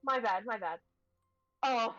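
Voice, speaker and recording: A woman's voice: on the high side, slow, pushed and bright, no room sound. A woman playing a tabletop roleplaying game, chatting casually in a hesitant, questioning way. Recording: dull, dry audio with faint music. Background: faint music.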